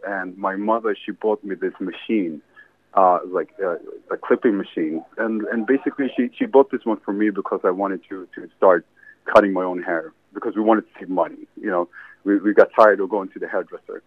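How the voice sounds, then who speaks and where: A man talks over a tinny, phone-like line. His voice sits a little low, and the sound is dry and close. He rambles and stops to restart his sentences as he tells his story to the host. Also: no room sound. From Sweden. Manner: rambling, halting, many restarts. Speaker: interview guest, entrepreneur. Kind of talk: casual interview answers. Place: salad bar.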